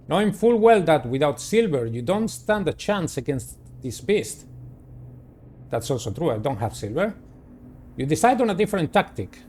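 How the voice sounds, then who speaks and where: A man hosting a game playthrough, reading the story aloud in a dramatic, deliberate way and adding his own commentary. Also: fairly high pitch, a little mellow, slight background noise. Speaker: gaming video host. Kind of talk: reading visual novel narration aloud with personal commentary.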